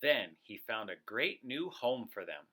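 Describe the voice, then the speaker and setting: Male co-reader for a picture book, sharp bright tone, animated and expressive delivery for kids. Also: medium pitch, unhurried. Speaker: co-reader of a children's read-aloud. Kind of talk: animated children's storybook narration.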